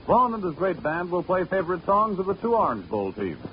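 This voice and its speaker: A man announcing in 1940s radio style, with a crisp, upbeat promo delivery. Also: high voice, big pitch swings, fairly quick. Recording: dull old radio broadcast with faint hiss.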